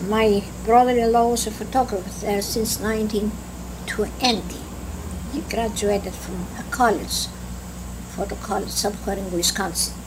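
An elderly woman from Greece with a Greek accent, slowly recalling her life in an oral history interview, with halting restarts. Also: mid-range voice, steady tone. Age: older. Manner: slow, halting recollection with restarts. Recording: noisy tape with hum.

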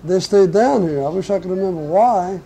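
An older man from Portugal, reminiscing in an oral history interview, who talks in a halting, reflective way as he searches his memory. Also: high voice, gravelly, dark tone. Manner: halting, reflective, slow recall.

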